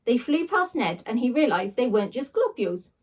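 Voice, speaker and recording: A woman with a fairly high voice narrates a children's story. Her delivery is dramatic and swooping, leaning hard on sound words, and she is heard a little way from the microphone. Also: sing-song, fairly quick, husky, distant mic. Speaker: teacher reading aloud.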